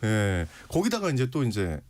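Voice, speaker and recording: A man in his forties hosts a casual studio interview. His pitch swings widely and expressively at a medium height. He is chatty and playful, tossing out short questions and teasing asides. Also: huge pitch swings, a little slow, a little mellow. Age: 40s. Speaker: radio host. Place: radio studio.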